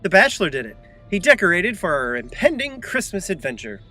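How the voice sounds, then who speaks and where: A man's voice: sits high, wildly swooping pitch, a little fast, sharp tone, soft background music, heavily acted. A man playing a theatrical, bossy adventurer in an audio comedy, emphatic and arch in his delivery. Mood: upbeat, arch. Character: theatrical, bossy, indignant adventurer, fond of festive fun.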